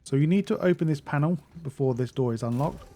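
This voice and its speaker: A man's voice: fairly high pitch, expressive, fast talker, dark tone. A man giving a casual video game walkthrough as a guide narrator.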